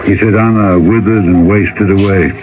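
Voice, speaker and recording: A man's voice: sits low, steady tone, normal speed, close to an everyday voice. An actor playing a plain-spoken, philosophical Russian boatman in an old-time radio drama, with emphatic turns of speech. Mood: blunt, sorrowful. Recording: dull old radio recording, music behind.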